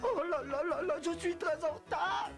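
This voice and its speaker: High, fretful cartoon rabbit. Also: moderate pace, creaky. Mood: flustered, panicked. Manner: fretful, repetitive muttering and yelping. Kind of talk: animated children's film acting. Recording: loud music under the voice.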